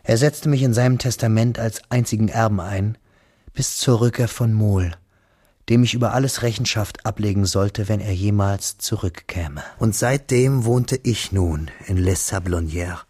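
An actor playing a grieving young schoolteacher who narrates his memories in a slow, hushed, sorrowful way for a radio drama. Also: lowish voice, dry recording, clean studio sound. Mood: reflective, sober. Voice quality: husky, breathy, gravelly.

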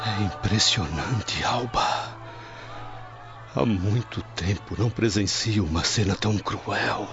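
A man's voice: on the low side, slow pace. An actor playing an anguished, compassionate man of ancient Rome, declaiming solemnly and dramatically in an audio drama. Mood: dismayed. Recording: dull lo-fi audio, music behind.

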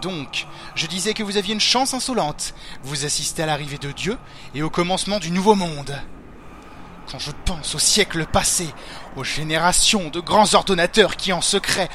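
An actor playing a fanatical, smug cult leader in a French fantasy audio drama, with a pompous, ceremonial and mockingly courteous manner. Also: male voice, on the high side, normal speed, sharp tone, slight background noise. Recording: music under the voice.

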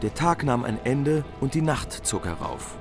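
A man's voice: mid-range voice, lively intonation, a little slow. A man delivering scripted literary narration in a radio play. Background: music.

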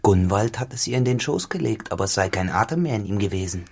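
Radio play: cynical tenant farmer, low husky voice, grave, insinuating, deliberate. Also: male voice, expressive. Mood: grave, accusing. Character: cynical, dissolute farmhand turned tenant, brooding and insistent. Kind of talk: literary German radio drama acting.

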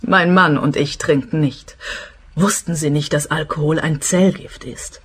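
An actress playing a vain, domineering wife in a radio play, husky-voiced, cool and condescending. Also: female voice, low-pitched, steady tone, measured pace. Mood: bossy. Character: haughty, vain, domineering wife of a missing man.

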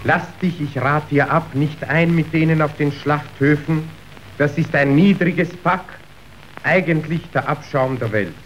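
A man's voice: fairly high pitch, unhurried, a bit bright, slight background noise, rough recording. An actor playing a worldly, contemptuous henchman in a radio drama, lecturing with scorn. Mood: warning, contemptuous. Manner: didactic, scornful. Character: worldly, contemptuous henchman acting as cynical guide.

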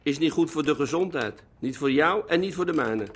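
A man's voice: a little high, animated, brisk, husky. An actor playing a blunt, suspicious sex-club owner in a radio drama, pressing his point in colloquial speech. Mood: confronting, probing. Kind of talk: naturalistic Dutch radio drama dialogue.

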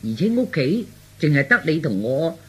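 A brash, husky, blustering madman. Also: fairly high pitch, very animated, moderate pace, heavily acted. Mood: scheming, coaxing. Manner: blustering, scolding, bossy. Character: brash young son feigning raving madness as a heavenly soldier. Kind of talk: Cantonese comic radio drama acting.

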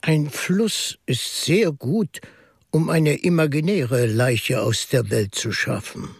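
Radio drama shrewd, mild priest-sleuth: husky, creaky, slow-thinking and measured, close dry sound. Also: male voice, a little high, no echo, heavily acted. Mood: calm, probing. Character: Father Brown, mild, shrewd, reflective priest-detective. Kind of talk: German radio drama acting.

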